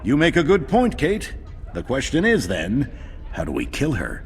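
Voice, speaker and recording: An actor playing a pompous, excitable male TV news anchor in a melodramatic, theatrical parody newscast. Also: medium pitch, normal speed. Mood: smugly dramatic. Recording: loud music behind the voice.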